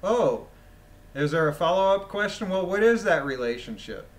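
A man with a phlegmy voice reading a document aloud with casual, incredulous, mocking commentary, often clearing his throat. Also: high voice, lively intonation, moderate pace. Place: voice-over of a screen recording.

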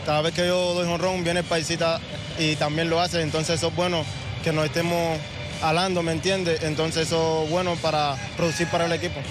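A young man, a baseball player, answering in a relaxed way in an outdoor postgame field interview. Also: fairly high pitch, fast, music in the background. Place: outdoors on a ballfield. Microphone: handheld microphone.

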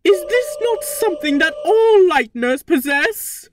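A woman voicing a flamboyant, smug robot queen in a video game fan dub, with deliberate, theatrical delivery. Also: very high-pitched, animated, background noise. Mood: grand musing. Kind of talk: fan-dubbed video game villain monologue. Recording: background music under the voice.

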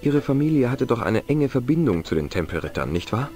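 An actor playing a polite, curious young American investigator in a video game, with dry, earnest delivery. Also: male voice, medium pitch, very animated, a little fast, darkish tone, faint music behind, close to an everyday voice. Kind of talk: video game dialogue and wry narration.

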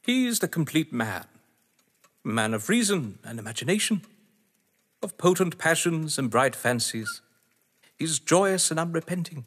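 An actor playing a proud, eloquent, solitary gentleman who relishes games, in a theatrical audio drama style. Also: a little high. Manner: grandiloquent, theatrical. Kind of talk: full-cast audio drama acting of a stage play. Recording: long hall reverb.

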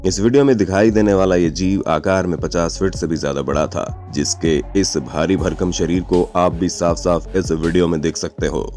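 Guy narrating a scripted facts video, really low warm voice, talks right to the viewer. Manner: addresses listeners with rhetorical questions. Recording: music under the voice. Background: background music.

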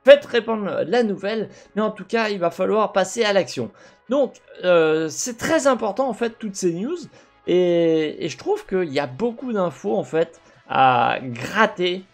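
Young male gaming host, headset mic, faint game music underneath, animated delivery. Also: high voice, measured pace. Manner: animated, talks with his hands, many fillers and restarts. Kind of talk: commentary over gameplay. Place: home room with gaming chair.

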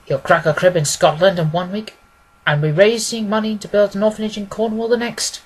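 A man's voice: high-pitched, level tone, brisk, slightly rough, low-quality recording. A man voicing a self-important, blustering police agent in a storybook, lofty and pompous. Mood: grudging admiration. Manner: pompous, consequential.

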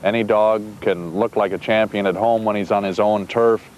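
A man from Iowa, a sheepdog trainer, talking calmly and plainly outdoors, with some fillers. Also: on the low side, moderate pace, lo-fi sound, slight background noise. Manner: calm, plainspoken, with fillers and restarts. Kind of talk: casual interview talk. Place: outdoors on a farm.

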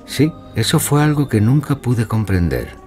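A man narrating a dramatized mystery story in scripted, measured literary style. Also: medium pitch, very animated, a little mellow, soft background music.

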